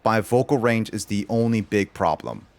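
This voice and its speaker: A man's voice: lowish voice, animated, moderate pace, vocal fry, darkish tone. A man chatting casually on a livestream, rambling and filling his talk with "like". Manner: rambling, loaded with "like" fillers.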